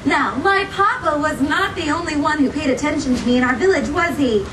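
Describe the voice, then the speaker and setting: Woman playing Belle, a very high-voiced sweet princess. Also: brisk, close to an everyday voice. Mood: playful, encouraging. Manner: theatrical, encouraging, asks the audience questions. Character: Belle, sweet gracious young storybook princess. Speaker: theme park storytelling performer. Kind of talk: live interactive storytelling for children. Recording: distant, echoey, noisy amateur recording among crowd. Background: audience crowd noise.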